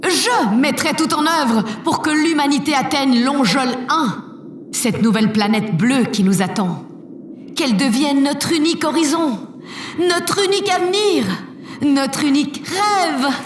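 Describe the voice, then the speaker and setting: A woman's voice: sits high, a little slow, a little hiss or hum. A woman playing a solemn president of a spaceship colony, giving a formal, declamatory speech in an audio drama. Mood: rousing, solemn. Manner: stately, declamatory. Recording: echoey public-address hall, distant, crowd ambience.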